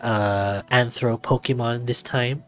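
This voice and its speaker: A man's voice: medium pitch, fairly even intonation, slow, a bit bright, faint music behind. A man hosting a visual novel read-through, narrating and commenting casually. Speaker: video host and visual novel reader.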